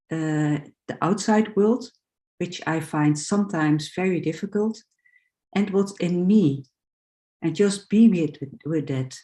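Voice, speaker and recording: Dutch lady speaking english slow and careful, lots of ums, soft mellow voice. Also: lowish voice. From Netherlands. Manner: hesitant, searching for words, many fillers. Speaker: guest speaker. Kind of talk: personal sharing in a talk.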